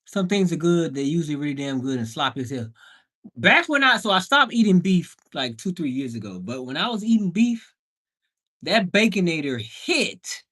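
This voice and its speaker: A man's voice: on the high side, very animated, normal speed, a bit bright. A man hosting a casual livestream, talking in a slangy, playful way with emphatic exclamations. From Bahamian background. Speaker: livestream host and house flipper.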